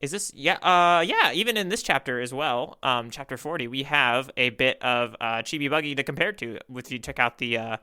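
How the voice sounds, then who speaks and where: A man co-hosting a podcast in casual chat, talking in an excitable, chatty way. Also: mid-range voice, moderate pace, few pauses, clean tone. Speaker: podcast co-host.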